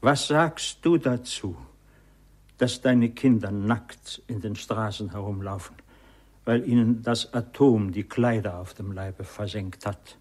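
An actor playing a despairing villager who accuses God, speaking with slow, impassioned rhetoric. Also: male voice, fairly low pitch. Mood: bitter.

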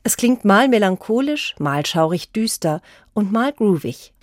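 A woman's voice: mid-range voice, big pitch swings, unhurried. A woman reading a scripted cultural radio review in a composed, articulate way. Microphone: close studio microphone.

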